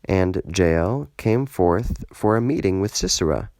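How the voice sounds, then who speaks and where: A man reading scripture as scripted narration, solemn and measured, in short deliberate phrases. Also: deep voice, expressive, a little mellow, no echo, studio quality. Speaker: scripture reader, audiobook narrator.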